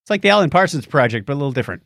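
Animated, high-voiced man co-hosting a podcast. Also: vocal fry, dry recording, studio quality. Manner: playful, quick with quips and asides. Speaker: podcast co-host. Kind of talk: casual chat, joking banter.

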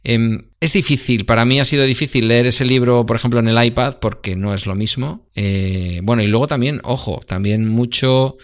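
A man's voice: medium pitch, moderate pace, hardly any pauses, fairly bright tone. A middle-aged man in casual conversation, talking with many fillers and restarts. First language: Spanish. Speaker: guest. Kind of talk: casual conversation about books.

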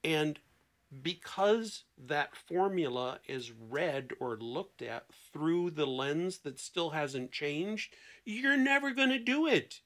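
A man with a fairly high, very bright and forceful voice explains things like a therapist on a talk show. His delivery is conversational and emphatic, slipping into imagined dialogue to make a point. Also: expressive, takes it slowly. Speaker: therapist, podcast guest.

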